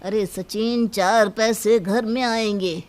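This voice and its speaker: A woman voicing a weary, reproachful elderly father in an animated story. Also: mid-range voice, slow, heavy background noise, close to an everyday voice.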